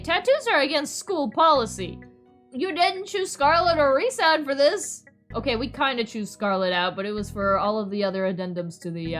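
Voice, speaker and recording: A woman's voice: a little high, a bit bright. A playful, theatrical woman streaming a visual novel reading with chatty, exclamatory commentary. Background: music.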